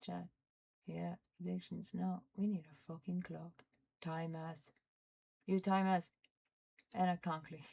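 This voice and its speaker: A young woman from Norway, speaking English as a second language, talks slowly in a low, gravelly voice with a mellow, dark tone. She grumbles and curses at her software, often dropping to a muttered whisper. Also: level tone. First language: Norwegian. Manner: frustrated, swearing, muttering under her breath. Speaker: streamer. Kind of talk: casual livestream chat while fiddling with software.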